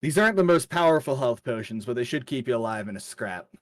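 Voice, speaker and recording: A man voicing a seasoned, folksy mentor in a tabletop game, talking in an encouraging, colloquial, halting way. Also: mid-range voice, expressive, a bit bright, natural voice. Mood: briefing. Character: seasoned folksy male mentor sending young adventurers out.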